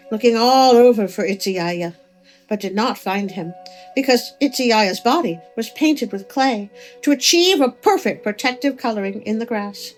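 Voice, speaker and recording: A woman narrating a folk tale as a storyteller, with expressive delivery. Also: mid-range voice, rough recording. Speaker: storyteller, host of a story channel. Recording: music under the voice.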